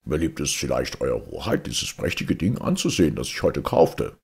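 A man playing a proud castle commander and royal servant in a fairy tale, formal and courtly, with a gravelly, husky voice. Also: rumbling low, sing-song, moderate pace, heavily acted. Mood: deferential.

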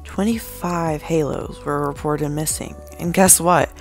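A woman playing a serious, analytical detective partner in an amateur animated movie. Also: low voice, measured pace, faint music behind.

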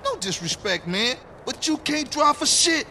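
An actor playing a hot-headed, contrary young gangster in a video game, brash and argumentative in street slang. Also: male voice, very high voice, big pitch swings, moderate pace, pushed and bright, slight background noise, full character voice. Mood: scornful. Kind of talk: video game cutscene acting.

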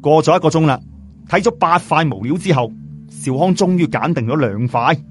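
A man's voice: a little high, normal speed, faint music behind. A man telling a story in colloquial Cantonese-accented speech, theatrical, as an audiobook storyteller. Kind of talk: animated storytelling with character voices.

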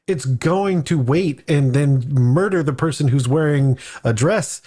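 A man's voice: on the high side, unhurried, dark tone, husky. A man talking casually as a podcast panelist in a discussion of tabletop games.

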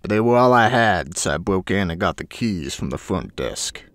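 A man's voice: low voice, expressive, moderate pace, a bit bright, no echo, studio quality. An actor playing a scruffy, foul-mouthed space adventurer, rambling and sardonic as he narrates a sci-fi audio drama. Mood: wistful, rambling.

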